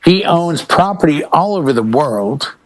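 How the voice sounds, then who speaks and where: A man with a slightly high-pitched voice talks casually, like a panelist hanging out on a show. He explains things emphatically, making his points through little anecdotes. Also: animated, measured pace, low-quality recording. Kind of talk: casual chat about rock bands.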